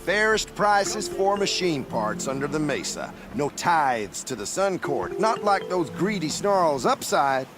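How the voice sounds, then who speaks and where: Brash hawker, video game. Boastful, lively pitch, quarrelsome salesman delivery, music behind. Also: unhurried, hardly any pauses. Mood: boastful, pushy. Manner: salesman's patter, bickering. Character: brash, boastful male machine-parts hawker.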